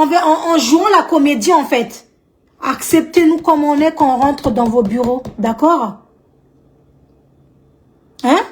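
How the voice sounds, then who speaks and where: Woman, Malagasy accent, high-pitched, outspoken, repeats herself, vlog monologue. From Madagascar. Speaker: vlogger. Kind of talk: indignant monologue to camera.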